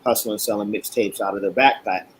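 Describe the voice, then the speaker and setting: A man's voice: lowish voice, steady tone, brisk, crowd noise. A man from Queens, a guest in a casual chat, talking in a blunt, emphatic way with many restarts. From Queens, New York.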